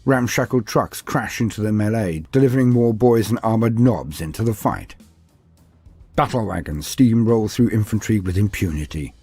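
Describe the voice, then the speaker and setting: A man's voice: fairly low pitch, a little mellow, breathy edge. A man reading scripted fantasy battle lore as a narrator, with a grave, theatrical delivery. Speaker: narrator of a fantasy war-game lore video. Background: music.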